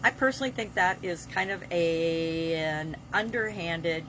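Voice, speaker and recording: Female vlogger-coach, lowish pitch, emphatic and opinionated, unscripted delivery, noticeable ambient rumble behind her. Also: measured pace. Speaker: vlog host and business coach. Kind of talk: unscripted motivational vlog talk.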